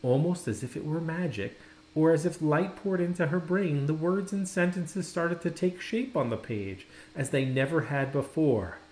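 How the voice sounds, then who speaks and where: A man narrating a children's storybook expressively, with dramatic, deliberate phrasing and pauses. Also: a little high, darkish tone. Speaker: storybook reader. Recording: roomy, echoing indoor sound.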